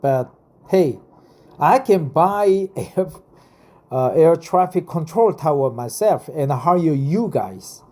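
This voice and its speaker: A man in his fifties from South Korea with a Korean accent, reading information aloud with casual personal asides. He is halting and deliberate and often repeats phrases. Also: fairly high pitch, animated, warm tone. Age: 50s. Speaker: vlogger explaining a career path.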